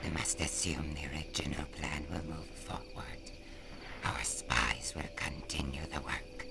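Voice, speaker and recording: An actor playing a sinister, commanding alien creature in a radio drama, speaking with a gravelly menace. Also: male voice, unhurried, full character voice. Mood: cold, plotting. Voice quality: very deep gravelly voice. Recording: faint background noise.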